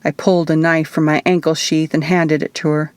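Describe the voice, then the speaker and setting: Female audiobook narrator, fairly low register, scripted first-person storytelling, dry booth-like sound. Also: level tone, fairly quick, a little mellow, smooth, no echo. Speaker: author reading own audiobook.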